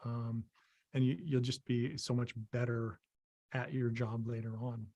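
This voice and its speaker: Halting middle-aged man from Maine. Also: medium pitch, little pitch movement, mellow, muffled recording. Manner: halting, many ums and restarts. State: losing his voice, clearing throat. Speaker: interview guest, visual effects artist. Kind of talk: casual conversation over video call. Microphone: video call.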